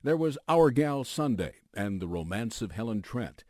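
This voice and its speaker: A man's voice: medium pitch, big pitch swings, moderate pace, a little mellow, no echo, clean studio sound. An American man hosting a radio documentary, reading scripted narration as a news anchor would.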